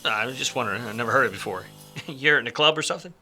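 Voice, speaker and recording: An actor playing a weary, wisecracking 1940s private detective in a film noir radio play, with a wry, slangy manner. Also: male voice, medium pitch, racing, bright, forceful tone, soft background music. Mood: placating. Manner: wry, hard-boiled, slangy.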